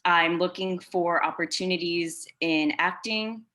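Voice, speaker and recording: A woman's voice: fairly low pitch, measured pace, sharp tone. A woman, an actress and producer, introducing herself in conversational speech on a video call.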